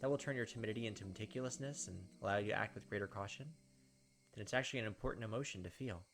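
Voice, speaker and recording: A man's voice: fairly low pitch, very fast, fairly bright tone. A man reading a visual novel aloud in a dramatic first-person way, with casual asides as a gaming host. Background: game music.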